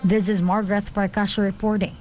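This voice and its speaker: A woman's voice: mid-range voice, little pitch movement, a little fast, loud music behind the voice. A woman reading a scripted news report in the role of reporter.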